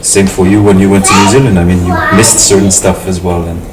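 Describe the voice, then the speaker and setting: Very low-voiced Samoan man, distant and roomy. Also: flat delivery, quick pace, over loud music. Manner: thoughtful, hesitant with fillers and restarts. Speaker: interviewee, family host. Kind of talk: casual reflective conversation. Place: home in a city. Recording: roomy, distant mic with noticeable noise.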